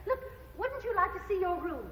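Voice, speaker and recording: A giggly, pretentious widowed landlady chatters in a very high, bright voice, sprinkling in French phrases. It is a live soundboard recording of a stage musical, so the voice rings with long theater-hall reverb. Soft orchestra sits under the sung parts. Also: very animated, fairly quick, a little hiss or hum, heavily acted. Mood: gushing, flirtatious. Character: gushing pretentious widowed landlady, middle-aged. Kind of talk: stage musical, singing and acted dialogue.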